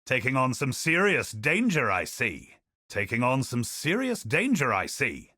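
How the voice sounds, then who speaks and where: An actor playing a bold, honor-bound adventurer in a video game, with theatrical battle cries. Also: male voice, mid-range voice, wildly swooping pitch, measured pace, pushed and bright, husky, no room sound, clean studio sound, heavily acted. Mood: measured. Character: bold male knightly treasure-hunting adventurer.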